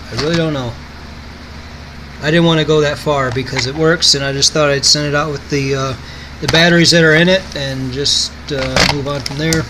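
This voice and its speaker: A man's voice: a little high, noisy background. A man giving a casual product demonstration in an offhand, rambling way.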